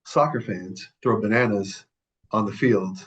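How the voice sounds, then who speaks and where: Emphatic lower-voiced man telling a story. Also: a little slow, fairly bright tone, dull audio. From Middle East. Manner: emphatic, repeats words for stress; frequent restarts and self-corrections. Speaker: podcast guest. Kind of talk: casual conversation, personal storytelling.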